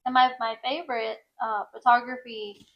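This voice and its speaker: A woman in her thirties talks casually as an interview guest, her voice naturally high and light. She is chatty, laughs easily and slips into little acted-out quotes as she tells her story. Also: level tone, moderate pace, muffled recording, a little hiss or hum.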